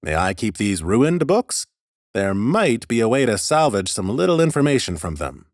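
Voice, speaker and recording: A man voicing an ancient lich scholar in an audiobook, wry, measured and pedantic. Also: fairly low pitch, big pitch swings, no room sound, studio quality. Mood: explaining, polite. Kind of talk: character acting in an audiobook.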